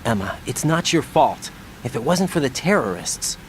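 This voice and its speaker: An actor voicing a serious, sceptical young male soldier in a video game, questioning in a terse, steady way. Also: medium pitch, moderate pace, a little hiss or hum, lightly acted. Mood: subdued. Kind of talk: scripted game cutscene dialogue.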